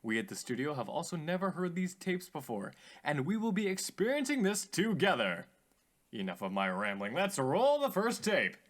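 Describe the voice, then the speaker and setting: A man's voice: fairly high pitch, big pitch swings, normal speed. Guy playing a showy radio host dude, eager, very up and down delivery, scripted mystery show. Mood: showy, intrigued. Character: polished, eager male radio host presenting mysterious tapes.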